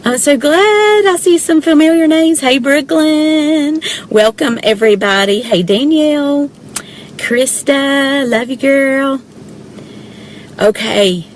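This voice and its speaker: A woman's voice: high voice, takes it slowly, slight background noise. A woman from South Georgia with a Southern accent, chatting on a live stream from her parked car, greeting viewers by name and saying y'all a lot. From South Georgia, USA. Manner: chatty, warm greetings, frequent y'all, laughs often. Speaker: direct-sales presenter hosting a live stream. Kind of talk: casual livestream chat greeting viewers. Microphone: phone held or mounted in car.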